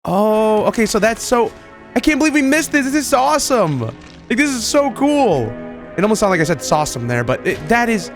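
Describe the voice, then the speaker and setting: High-pitched chatty man over music. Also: moderate pace. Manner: chatty, excitable, slangy, repeats himself. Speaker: gaming video host. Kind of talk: casual reaction commentary to game images and clips. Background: background music.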